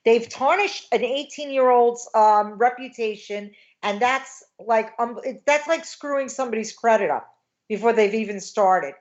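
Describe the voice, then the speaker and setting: A woman's voice: a little high, normal speed, highs cut off. A woman in her fifties, a guest on a casual livestream chat, talking indignantly in a rush with many fillers. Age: 50s.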